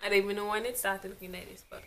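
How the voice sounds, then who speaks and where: A woman's voice: mid-range voice, fairly even intonation, racing, noisy background. A young woman hosting a casual vlog at home, playful and laughing often as she chats. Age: young adult. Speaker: vlogger host. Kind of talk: casual chat trying out accents. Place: small indoor room at home.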